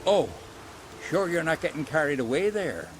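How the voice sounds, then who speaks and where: A man's voice: a little high, animated, normal speed, over music, close to an everyday voice. An actor playing a proud, wary male villager in a video game who talks colloquially, like a practiced storyteller. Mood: wary, haggling. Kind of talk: video game cutscene acting.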